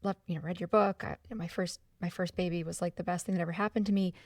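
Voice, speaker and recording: A woman's voice: lowish voice, steady tone, rapid-fire, no echo. A woman hosting a podcast who talks hesitantly, repeating words and filling in with short agreements. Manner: hesitant, repeats words, trails into laughs, many short backchannels. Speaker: podcast host. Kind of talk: casual interview chat. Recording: distant mic in a dry room.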